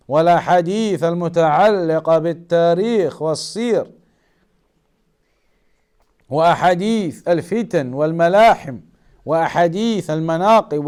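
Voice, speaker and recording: A man giving an Islamic studies lesson as a teacher, explaining in a measured way and rephrasing key points. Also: on the high side, a little mellow, clear, resonant tone. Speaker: religious teacher.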